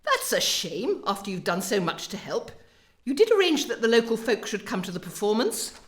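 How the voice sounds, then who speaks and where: A woman's voice: on the high side, sing-song, moderate pace, a little hiss or hum. Woman acting an imperious dame, swooping intonation. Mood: politely regretful. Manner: pompous, precise, formal. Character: imperious exacting opera company director dame. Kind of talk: radio play acting.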